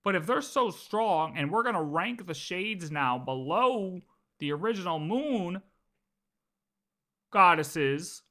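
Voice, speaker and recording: Halting streamer with a bright, forceful voice. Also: mid-range voice, lively intonation. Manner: halting, repeats and rephrases. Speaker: livestreamer reacting to a video. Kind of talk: casual reaction commentary.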